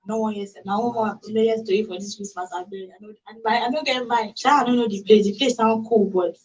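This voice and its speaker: A woman's voice: mid-range voice, steady tone, fast talker, darkish tone, muffled recording, close to an everyday voice. A woman with a Nigerian accent playing a street-wise young working woman in an audio drama. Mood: wary. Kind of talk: audio drama dialogue in Pidgin. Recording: distant mic, faint hiss and clicks.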